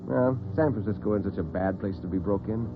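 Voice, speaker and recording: An American man telling dramatic tales as host and storyteller in classic radio style, theatrical and expressive. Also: fairly low pitch, fairly quick, over loud music. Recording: narrow-band vintage radio recording, muffled.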